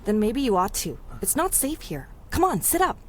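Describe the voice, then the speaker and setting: A woman's voice: fairly high pitch, sing-song, normal speed, slight background noise. A woman playing a plucky, streetwise city dweller with wry, colloquial speech in a 1930s-style radio drama. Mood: concerned, wry. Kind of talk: 1930s radio adventure serial acting.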